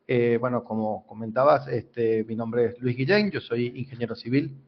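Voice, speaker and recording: A man's voice: mid-range voice, muffled recording. A man from Venezuela, a civil engineer explaining his field as an interview guest, with frequent restarts and fillers. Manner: halting, repeats words, many fillers.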